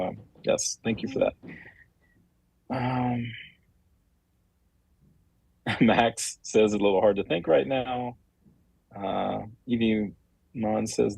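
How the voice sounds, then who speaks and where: A man hosting a livestream, talking off the cuff and reading out viewer comments in a halting, apologetic way. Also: on the low side, creaky, a little mellow, husky. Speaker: livestream host, comic creator.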